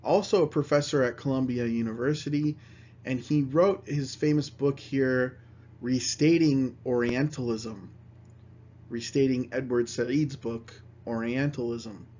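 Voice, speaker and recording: A man's voice: mid-range voice, measured pace, a little mellow. A man lecturing on history, reading passages aloud and then explaining them in plain words. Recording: slightly dull, lo-fi recording.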